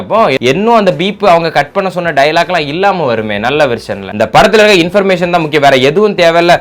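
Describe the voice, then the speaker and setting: A man giving opinionated reaction commentary, argumentative, full of rhetorical questions and mixing in English words. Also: sits high. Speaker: YouTube political commentator.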